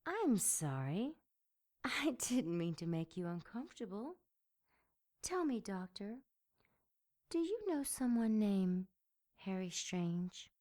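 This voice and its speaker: Slinky femme fatale, young, dark soft low voice, drags words out real slow, teasing and dangerous, radio drama. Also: female voice, sing-song. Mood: probing. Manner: slow, breathy purring, teasing and commanding. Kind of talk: audio drama acting.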